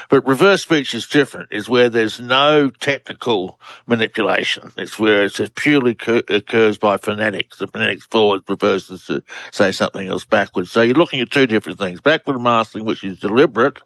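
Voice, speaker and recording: An Australian man in his seventies talks as a guest researcher on a radio interview. He is enthusiastic but halting, often starting a phrase again. His voice is recorded close and dry. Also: lowish voice, fairly bright tone, no echo, rough recording. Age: 70s.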